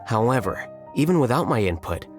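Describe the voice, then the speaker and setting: An actor playing an aloof, rational young scholar official in a video game, speaking in a measured, formal, deadpan way. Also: male voice, mid-range voice, over music, lightly acted. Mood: calm, detached.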